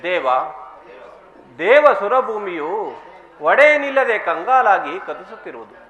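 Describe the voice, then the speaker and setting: A man's voice: sits high, very animated, slow pace, lo-fi sound, full character voice. A man playing a reverent, earnest creator god in formal, emphatic mythological stage declamation. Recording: roomy echo.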